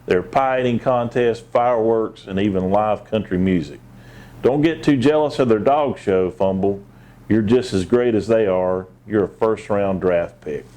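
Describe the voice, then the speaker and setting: A man's voice: on the low side, normal speed, warm tone. A man reading a children's picture book aloud, with short asides of his own. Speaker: assistant police chief, guest storybook reader.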